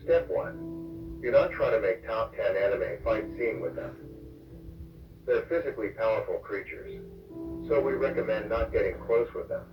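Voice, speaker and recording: A man's voice: fairly low pitch, expressive, moderate pace. A man delivering comic scripted narration in a crude, flippant way, as the narrator of a parody public warning. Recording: muffled, echoey, distant, under music.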